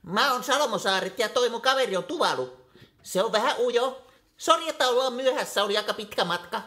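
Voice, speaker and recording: An actor voices a small, childlike island delegate in a comic radio play. The voice is very bright at a middling pitch, apologetic yet plain and matter-of-fact. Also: expressive.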